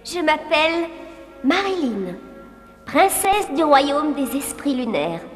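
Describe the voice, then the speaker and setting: A woman voicing a gentle, sorrowful young spirit princess in a fairy-tale cartoon, wistful and melodramatic. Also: high voice, sing-song, takes it slowly, soft background music, full character voice. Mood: dreamy.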